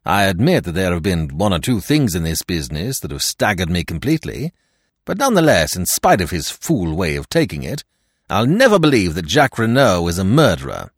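A man's voice: low voice, big pitch swings, fairly quick, breathy edge, dry recording, heavily acted. A man voicing a loyal, blunt English secretary in an audiobook, dry and forthright in manner. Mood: dry, firm. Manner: blunt, colloquial.

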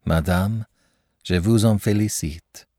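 A man reading an audiobook, voicing a polite, reserved young gentleman who answers briefly and courteously. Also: low voice, takes it slowly, no room sound, clean studio sound. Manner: courteous, restrained.

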